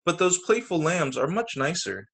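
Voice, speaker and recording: A man's voice: on the high side, sing-song, moderate pace, fairly bright tone, slight background noise, voice pushed up. A man voicing a proud but easily saddened mother hen in a children's storybook read aloud. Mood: wistful, glum. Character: proud mother hen, growing glum and wistful.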